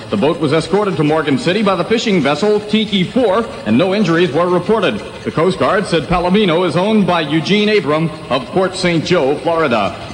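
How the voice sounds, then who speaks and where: A man reading a formal scripted news bulletin as a radio anchor on 1960s AM radio. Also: high voice. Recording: 1960s AM radio, roomy long reverb.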